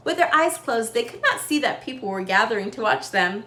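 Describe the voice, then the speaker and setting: A woman storyteller reading a children's book aloud in an expressive style, leaning on key words. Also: fairly high pitch, a little fast, roomy sound. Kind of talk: animated children's story narration.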